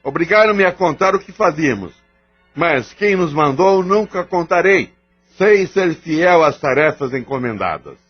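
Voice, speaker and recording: A man's voice: a little high, animated, a little slow, husky. An actor playing a proud, cunning malevolent male spirit, a gang chief, defiant and boastful in an audio drama. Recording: muffled lo-fi audio drama recording. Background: background music.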